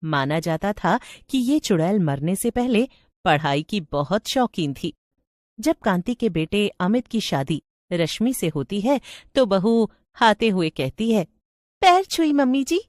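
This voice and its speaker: A woman's voice: mid-range voice, animated, measured pace, darkish tone, dry recording, clean studio sound. A woman storyteller reading a scripted tale as narrator.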